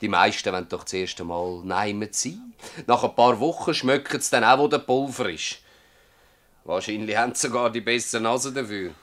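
An actor playing a stubborn old farmer in a radio drama, speaking emphatically and haltingly with a Swiss German accent. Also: male voice, lowish voice, lively intonation, fairly bright tone. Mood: defensive, earnest. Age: older. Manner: emphatic, halting, earthy testimony. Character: gruff old Swiss farmer, stubborn, defensive, worn by toil. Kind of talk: dialect radio drama acting.

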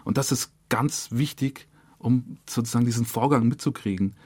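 A man's voice: medium pitch, normal speed, darkish tone, slightly rough, no room sound. A man speaking conversationally as a director explaining his work in a studio interview. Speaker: radio drama director, interview guest. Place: dry radio studio.

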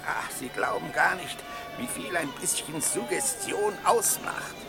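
A man's voice: on the high side, lively intonation, unhurried, creaky. An actor playing a talkative, mocking male violet seller in a 1960s German radio play, with theatrical, sing-song hawker's cries. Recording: 1960s radio recording, music behind.

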